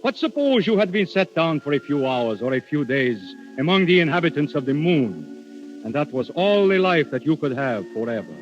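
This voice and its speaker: Brooding courtly prince, dramatically swooping voice. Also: male voice, fairly high pitch, very animated, normal speed. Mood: wistful, courtly. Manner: formal, theatrical, intense. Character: Death posing as a courtly, brooding, lovelorn foreign prince. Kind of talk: 1930s screen melodrama acting. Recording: dull vintage film soundtrack with music.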